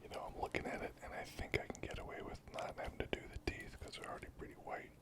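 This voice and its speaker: A person whispering softly and breathily in a casual, unhurried ASMR craft narration, murmuring step by step. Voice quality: soft, breathy, unvoiced whisper. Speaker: host of a miniature painting ASMR channel.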